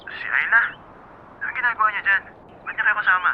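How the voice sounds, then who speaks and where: A man's voice: high-pitched, a little fast. An actor playing a puzzled, reluctant young man through a telephone filter in a Filipino radio drama. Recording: tinny phone line, light noise.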